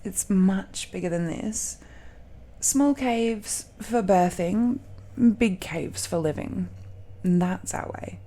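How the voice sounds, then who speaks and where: A woman's voice: medium pitch, dark tone, exaggerated voice. A woman playing an affectionate, teasing dragon-blooded girlfriend in an intimate romantic audio roleplay, speaking in a coy and playful way. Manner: slow, playful, giggly, coy.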